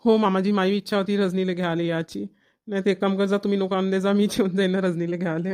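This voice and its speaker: An actor as fast-talking, clingy young husband. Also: male voice, sits high, little pitch movement, darkish tone, clear, resonant tone, lightly acted. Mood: reassuring. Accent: Varhadi Marathi, Vidarbha. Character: devoted, clingy young newlywed husband, eager to help. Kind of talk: cartoon family comedy dialogue.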